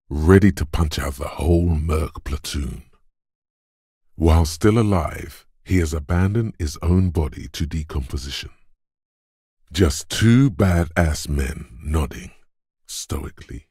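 An actor voicing the gruff, macho inner voice of brute physical strength in a video game, gravelly, deliberate and commanding. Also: male voice, very deep voice, animated, mellow, dry recording, studio quality, heavily acted. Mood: stern, deadpan. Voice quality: gravelly, husky. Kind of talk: dramatic game narration.

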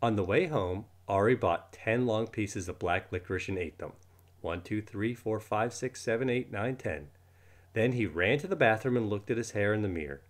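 A man reading a children's storybook aloud in an expressive manner, acting out the words as he goes. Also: on the low side, normal speed. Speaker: storybook reader. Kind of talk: children's book read-aloud.

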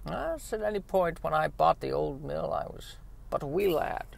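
A man acts out an old mill owner in a spoof fifties sci-fi radio play. He is eccentric and cryptic. He draws out his story in slow, halting, dramatic phrases. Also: a little high, animated, gravelly. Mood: mysterious, grandiose.

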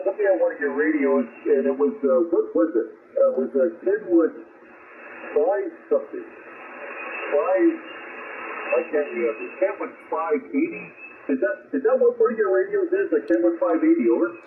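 A man's voice: high voice, wildly swooping pitch, normal speed, narrow, tinny audio. A man, an amateur radio operator chatting casually and hesitantly over shortwave radio. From Canada. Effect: heard over shortwave radio. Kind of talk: casual ham radio contact. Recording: thin, noisy single-sideband radio signal. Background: radio static.

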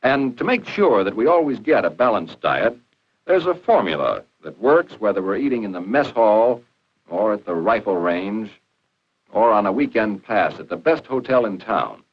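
A man's voice: fairly low pitch, big pitch swings, normal speed, husky. An American man reads scripted 1940s educational film narration in a plain-spoken, folksy, instructive manner. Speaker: narrator of an army training film. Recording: dull lo-fi 1940s film soundtrack.

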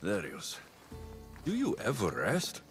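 An actor playing a confident, wry mercenary hero of ancient Greece, with a low-key, self-assured delivery in a video game. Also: male voice, wildly swooping pitch, slow, exaggerated voice. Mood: cool, probing. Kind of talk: video game cutscene dialogue. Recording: game music behind the voice. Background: background music.

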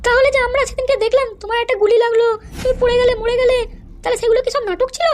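A woman's voice: extremely high pitch, fairly even intonation, racing, a little mellow. A woman voicing a defensive, pleading wife in a comedy cartoon, full of excuses.

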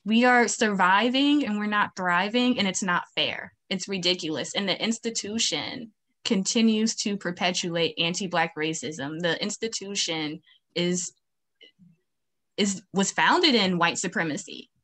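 A woman's voice: medium pitch, a little slow, a bit bright. A young woman student activist speaking earnestly on a video call, with many fillers. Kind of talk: town hall video call. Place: home, virtual beach background.

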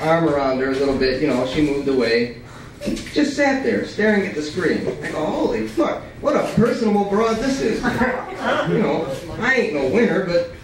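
A man's voice: high voice, noisy background. A young man giving a live reading of his writing in a colloquial, profane way. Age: young adult. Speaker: writer reading his work. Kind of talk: live reading of prose and poetry at a microphone. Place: small dim cafe, indoors. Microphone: stand microphone, picked up by far camera. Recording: echoey cafe, distant camcorder, crowd chatter. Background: audience chatter.

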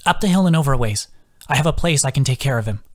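A man voicing a brisk, commanding woman druid healer in an audiobook, urgent and direct. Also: mid-range voice, lively intonation, close to an everyday voice. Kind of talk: audiobook character voice.

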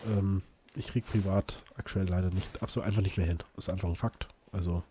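Let's play host, male, a bit hoarse, chatty and rambling, faint hiss behind. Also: low-pitched, steady tone, brisk, dark tone. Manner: chatty, rambling, frequent fillers and restarts. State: slightly hoarse from illness. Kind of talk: casual gameplay commentary.

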